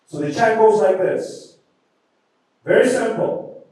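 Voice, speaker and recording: Man, community organizer, rallying speech, slightly high voice, distant, very echoey hall. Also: animated, slow, a little mellow. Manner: rallying, speaks with emphatic public-speaking cadence. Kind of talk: closing remarks at a public event. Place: large event hall.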